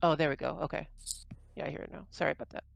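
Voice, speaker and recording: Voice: mid-range voice, steady tone, rapid-fire, vocal fry, dull audio, a little hiss or hum. A player chatting casually during an online tabletop game session.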